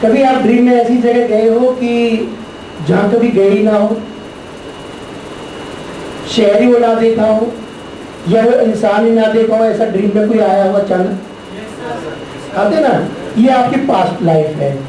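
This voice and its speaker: A middle-aged man giving a spiritual lecture to a class, teaching deliberately and posing rhetorical questions. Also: high voice, dark tone. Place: large echoey classroom. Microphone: distant room mic. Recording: very echoey, distant, noisy room recording.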